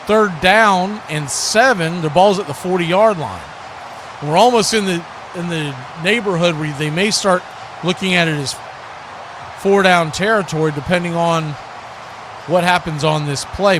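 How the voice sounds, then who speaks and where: An American man giving live football play-by-play in short clipped phrases that build with excitement. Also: high voice, noisy background. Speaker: play-by-play announcer.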